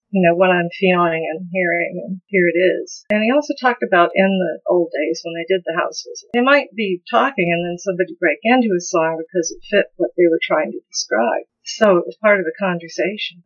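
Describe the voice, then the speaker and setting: Reflective older American woman, musician. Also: mid-range voice. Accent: mid-Atlantic American. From United States. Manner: thoughtful, restarts and qualifies often. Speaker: folk musician guest. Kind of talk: relaxed interview conversation. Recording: slightly dull lo-fi sound.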